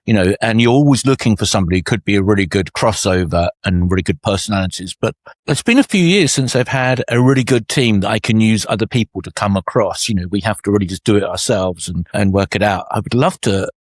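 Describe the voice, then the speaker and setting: A man's voice: fairly low pitch, fast, no room sound. A man co-hosting a podcast, a cruise director chatting casually and agreeably about old shipboard teams. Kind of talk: casual conversation.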